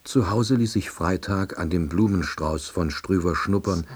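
A man playing a subdued, baffled middle-aged East German salesman, narrating a radio play in a dry, husky voice. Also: sits low, a little slow. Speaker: narrator and lead character.

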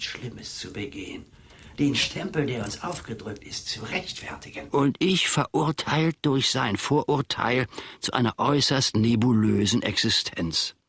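An actor playing an aggrieved, boastful old manservant in a radio play monologue, grumbling and pompous with a gravelly, husky voice. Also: male voice, mid-range voice, fairly bright tone, no echo, full character voice. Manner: grumbling, pompous, theatrically shifting between murmurs and outbursts. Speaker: actor in the lead role.

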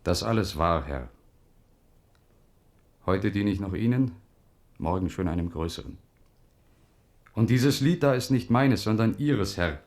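An actor in a German radio play playing a grave, devout poet-officer and loyal old friend, solemn and declamatory. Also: male voice, on the low side, expressive, measured pace, long pauses, a little mellow.

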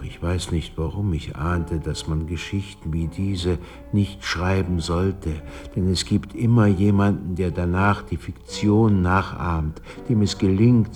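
A brooding writer telling his memories in a radio play. His low voice moves slowly and reflectively, with music clearly underneath. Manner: measured, reflective. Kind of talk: first-person radio drama narration.

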